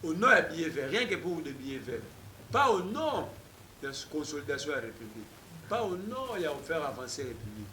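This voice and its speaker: A man's voice: on the high side, very animated, brisk. A man speaking as a political talk show guest, emphatic and repetitive, switching between French and Lingala. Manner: emphatic, mixes French and Lingala, repeats names insistently. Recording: echoey room with loud background noise.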